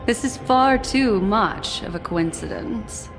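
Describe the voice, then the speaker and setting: A cool, skeptical video game woman, speaks dryly at a slow pace. Music is clear behind her. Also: fairly high pitch, expressive.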